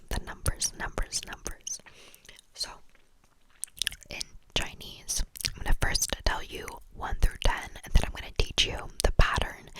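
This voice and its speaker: A woman whispering an ASMR-style lesson, repeating words softly and slowly over and over. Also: rough recording. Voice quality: soft whisper. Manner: repetitive, slow, breathy trigger-word repetition. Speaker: language teacher. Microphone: camera mic.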